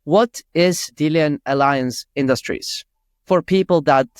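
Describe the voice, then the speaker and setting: A man's voice: a little high, a little slow, clean tone, dry recording, studio quality. A man hosting a podcast, putting casual, chatty questions to his guest. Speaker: podcast host, interviewer. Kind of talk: casual chat, interviewing.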